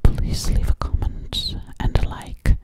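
A woman's voice: slow pace, close to an everyday voice. A woman playing a sweet, slightly sassy alien girl in an ASMR roleplay, whispering breathily close to the microphone and repeating her words soothingly. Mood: sweet, pleading. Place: dim living room indoors. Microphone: close-up at a large ASMR microphone.

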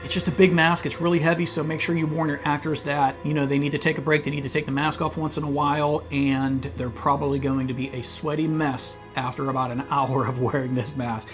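A man's voice: a little high, level tone, a little fast, few pauses, over music. A man in his thirties, a channel host, chatting casually and explaining practical details in a small indoor studio. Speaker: channel host reviewing masks. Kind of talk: casual review talk.

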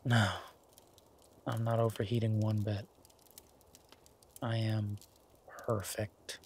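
A man playing an affectionate, laid-back werewolf boyfriend in intimate roleplay audio, gentle and halting, with a husky, breathy voice. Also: lowish voice, little pitch movement, a little hiss or hum. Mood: tender, content. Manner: gentle, halting, murmured with long pauses. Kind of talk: intimate roleplay audio, slow soft conversational acting.

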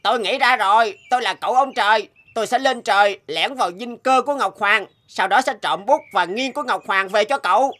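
A woman voicing a brave, kind little toad in an animated fairy tale, earnest and reassuring. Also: medium pitch, a little fast, few pauses, close to an everyday voice. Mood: eager, confident.

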